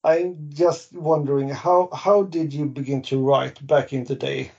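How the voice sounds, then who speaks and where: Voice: mid-range voice, fairly even intonation, unhurried, creaky, a little mellow, husky. A podcast host asking a guest questions in a friendly, encouraging way. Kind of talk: interview questions. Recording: muffled lo-fi audio.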